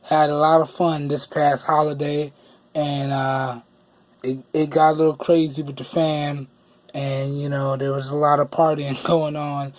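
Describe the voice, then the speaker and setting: A man with a hoarse voice that he says he is losing, giving a chatty, casual product review and often repeating phrases for emphasis. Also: a little high, little pitch movement, measured pace.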